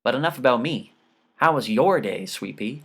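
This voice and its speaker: A man's voice: medium pitch, expressive, normal speed, breathy edge. Befuddled middle-aged dad, played by a male narrator: cheerful, folksy, corny, for an audiobook story. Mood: folksy, affectionate.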